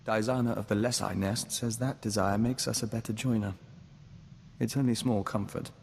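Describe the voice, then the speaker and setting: An actor playing a calm, dutiful diplomat bound to a hive mind, speaking formally in video game dialogue. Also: male voice, on the low side, vocal fry, big-room sound, a little hiss or hum. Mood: earnest, subdued. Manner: formal, measured, speaks of himself as we.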